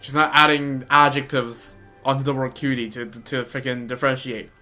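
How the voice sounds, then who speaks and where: Bright-voiced game streamer thinking aloud. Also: mid-range voice, little pitch movement, a little fast, soft background music. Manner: thinks aloud in short reactions. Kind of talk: casual livestream commentary. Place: voice over game audio with music behind.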